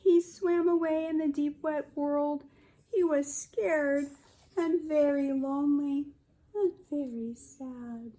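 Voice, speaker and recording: A woman in her forties reading a picture book aloud to young children as a kindergarten teacher, expressive and explaining as she goes. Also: sits high, slow. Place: living room at home, indoors.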